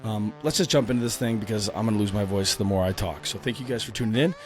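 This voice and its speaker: A man hosting a podcast interview while losing his voice from a cold, chatting in a friendly, halting way with many fillers. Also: lowish voice, slight background noise. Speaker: podcast host. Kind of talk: casual interview chat.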